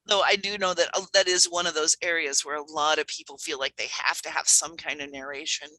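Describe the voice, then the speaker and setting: A woman's voice: low-pitched, steady tone, brisk, pushed and bright, no room sound, rough recording. A woman talking casually on a panel, chatty and emphatic, as an audio drama writer.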